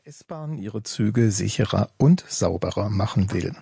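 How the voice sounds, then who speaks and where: A male radio news presenter reads the bulletin in a measured, formal way. His voice is gravelly and mellow, a little husky, and the recording is close and dry. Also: fairly low pitch, expressive, no echo.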